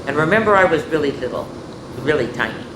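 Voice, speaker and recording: An older woman hosts a children's storytime, reading aloud and chatting in an expressive, folksy way straight to young listeners. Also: low voice, fast talker. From rural United States, farm. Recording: echoey room with background noise.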